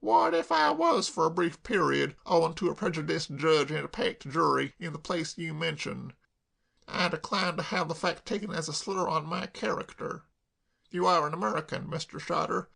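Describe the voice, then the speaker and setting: Male narrator as a pompous con man: bluff, slow, lifted pitch. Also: vocal fry, sharp tone, full character voice. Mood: indignant. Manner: bluff, grandiloquent, indignant.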